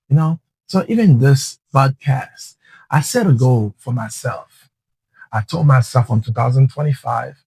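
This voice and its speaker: A man's voice: mid-range voice, slightly rough, no room sound, rough recording. A man hosts a motivational podcast, speaking earnestly and restarting phrases as he coaches listeners. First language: Haitian Creole. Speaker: podcast host.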